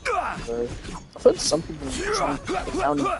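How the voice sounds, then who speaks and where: A man's voice: extremely high pitch, huge pitch swings, slow pace, gravelly, loud music behind the voice. A man giving casual, rambling gaming commentary, thinking aloud as a streamer. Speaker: game streamer.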